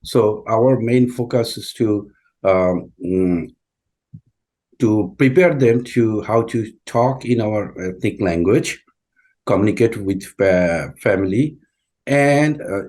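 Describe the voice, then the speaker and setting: A deep-voiced man, speaking English as an after Bengali. He talks slowly and haltingly, with frequent fillers and restarts as he answers interview questions. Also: a little mellow. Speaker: community school president, guest.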